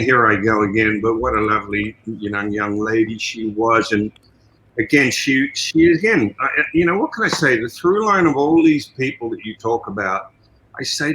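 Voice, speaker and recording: An older Australian man talks through a podcast interview with a bright, forceful voice, telling long stories with plenty of energy. His pitch sits in an ordinary middle range. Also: rough recording. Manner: animated, expansive storyteller. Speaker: guest, martial arts trainer.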